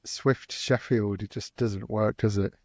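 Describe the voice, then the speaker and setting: A man's voice: lowish voice, fairly even intonation, a little slow, dry recording. A man who organizes conferences, chatting on a podcast and restarting his sentences often. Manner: hesitant, restarts a lot. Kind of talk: casual podcast chat. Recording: dry, dull lo-fi sound.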